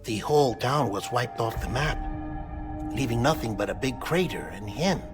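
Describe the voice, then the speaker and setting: An actor playing a melancholy, earnest lab-grown man in a cinematic video game, speaking with deliberate, confessional phrasing. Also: mid-range voice, animated, close to an everyday voice. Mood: solemn. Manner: deliberate, storytelling delivery. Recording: background music under dialogue.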